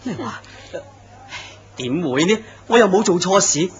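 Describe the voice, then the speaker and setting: A man's voice: sits high, lively intonation, measured pace, a little hiss or hum. An actor playing a polite, earnest mid-level office man in a horror radio drama, courteous and sincere in conversation. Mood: alarmed. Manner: courteous and earnest, turns startled when threatened. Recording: dull lo-fi recording.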